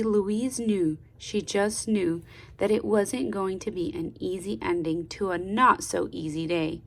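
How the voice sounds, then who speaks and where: A woman reads a storybook aloud like a teacher guiding young listeners. Her pace is very slow and deliberate, and her voice has a noticeable creak. She is expressive and pauses to prompt the child. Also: mid-range voice. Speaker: speech therapist reading aloud to children.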